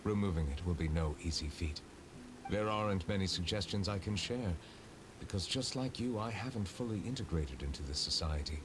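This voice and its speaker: An actor playing a calm, reserved male chief justice who speaks in a measured, formal way. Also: sits low, noisy background. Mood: composed. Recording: game music behind.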